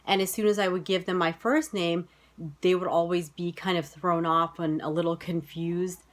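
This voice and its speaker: A woman's voice: lowish voice, fairly even intonation, moderate pace. A woman in her thirties with an American accent, telling personal stories to camera in a casual vlog, full of "you know" and "like.". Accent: General American. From United States. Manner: chatty, many fillers like "you know" and "like". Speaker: vlogger.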